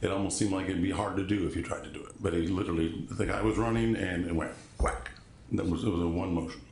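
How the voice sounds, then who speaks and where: A man with a deep voice testifying as a witness in court, halting and polite, often restarting sentences. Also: vocal fry, darkish tone, roomy sound, slight background noise. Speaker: trial witness.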